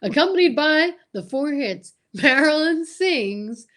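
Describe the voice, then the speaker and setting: A woman announcer giving a showy sales pitch in the style of old-time radio. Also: lively intonation, slow, a bit bright.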